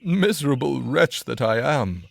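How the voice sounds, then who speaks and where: A man voicing a cruel, grumbling male carter who speaks loudly and roughly. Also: fairly low pitch, sing-song, measured pace, full character voice. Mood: self-pitying.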